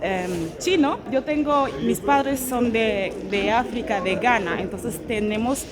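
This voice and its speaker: A woman's voice: a little high, measured pace, over a noisy crowd. A young German woman, a guest in a casual interview, talking in a chatty way with frequent laughs and fillers. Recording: faint background noise.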